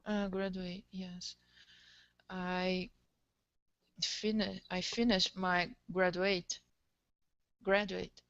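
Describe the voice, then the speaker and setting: A woman who is learning English as a second language, answering haltingly and carefully in a lesson, correcting herself as she goes. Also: on the low side, flat delivery, no echo, lo-fi sound. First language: not English. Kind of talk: hesitant answers in an online lesson.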